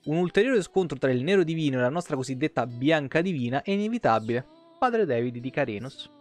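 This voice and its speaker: A man's voice: a little high, lively intonation, quick pace, a little mellow. A man, a streamer reading game lore aloud with casual, sweary asides and the odd stumble. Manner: colloquial, profane, stumbles and self-corrects while reading. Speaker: live streamer. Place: small room at home. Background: faint background music.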